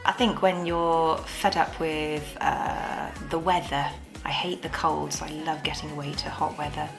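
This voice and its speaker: A woman in her forties talking in a relaxed, hesitant way about getting away, with music behind. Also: deep voice, a little slow, creaky. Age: 40s. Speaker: interviewee. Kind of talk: casual interview answers.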